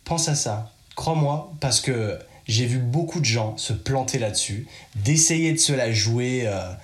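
A man from France talks straight to the listener in a chatty, direct monologue. His voice sits at an ordinary middle pitch. The room around him echoes noticeably. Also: unhurried. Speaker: podcast host. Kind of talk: casual advice monologue.

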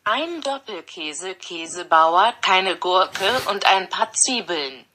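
A text-to-speech female voice reading out translated text in an even, synthetic way. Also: lowish voice, slow. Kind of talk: flat machine readout of translated text.

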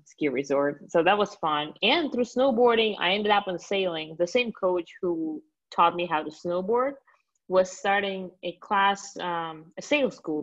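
A woman in her late twenties from Russia with a Russian accent, a guest telling stories in a relaxed way with many fillers. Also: lowish voice, dull audio. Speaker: guest, company founder. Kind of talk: interview answers.

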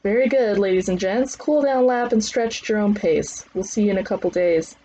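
A woman voicing a loud, foul-mouthed, demanding old combat trainer who barks commands in an audiobook. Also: mid-range voice, a little fast, highs cut off, a little hiss or hum, lightly acted. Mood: commanding. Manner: barking commands. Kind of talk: acted audiobook dialogue.

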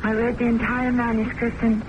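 A high-pitched, prim and insistent secretary played by a woman in an old radio drama. She speaks with urgent emphasis and is easily agitated. The recording is old and clearly noisy. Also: flat delivery, moderate pace, muffled recording, natural voice.

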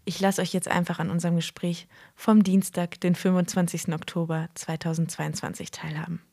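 A woman's voice: on the low side, level tone, brisk, creaky, mellow, no echo, studio quality. A woman hosting a podcast, chatty and eager, openly a little nervous as she introduces her guest. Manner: chatty, admits being nervous, effusive. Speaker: podcast host. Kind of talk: conversational podcast interview.

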